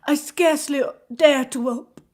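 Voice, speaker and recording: A woman's voice: sits high, measured pace, a little mellow, pitched above the performer's own voice. A woman voicing an anguished aristocratic French countess in a storybook, formal and emotional, with a French accent. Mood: sighing, anxious hope. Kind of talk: dramatized storybook reading.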